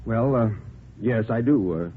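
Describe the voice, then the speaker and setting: Man acting Bill, a low, sullen office worker. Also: measured pace, natural voice. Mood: guarded, sullen. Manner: low, terse, hesitant, grudging. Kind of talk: 1950s radio acting. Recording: tinny band-limited vintage radio recording.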